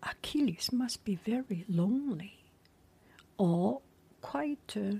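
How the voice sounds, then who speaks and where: Breathy whispering woman reading a story. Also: mid-range voice, expressive, slow pace, dark tone. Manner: whispering, with chatty asides to the listener.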